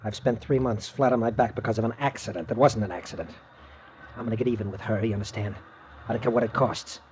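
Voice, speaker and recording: A man's voice: lowish voice, steady tone, fast talker, darkish tone, a little hiss or hum, close to an everyday voice. An actor playing a bitter, threatening man bent on revenge in a 1950s radio drama. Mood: menacing. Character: menacing, vengeful crazed man, bitter and threatening.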